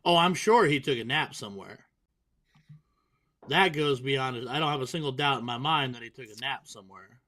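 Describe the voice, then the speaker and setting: A man's voice: medium pitch, moderate pace, sharp tone. A man commentating a darts tournament, joking and poking fun at himself. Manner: playful, self-mocking banter. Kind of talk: joking live sports commentary.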